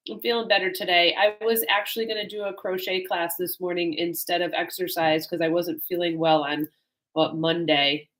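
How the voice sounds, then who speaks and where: A woman fitness coach with a bright, ringing voice, a little congested today. She chats in a friendly, rambling way with plenty of ums and uhs, recorded in a home exercise space on the camera mic. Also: mid-range voice, low-quality recording. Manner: friendly, rambling, many fillers. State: slightly congested. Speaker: fitness instructor. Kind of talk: chatty class introduction.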